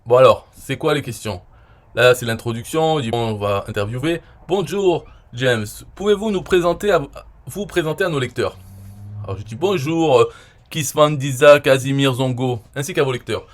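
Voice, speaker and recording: A man's voice: medium pitch, expressive, normal speed. Rambling French man, casual explainer. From France. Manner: rambling, frequent "euh" and "voilà", trailing off quietly. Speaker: online marketer reviewing his own interview.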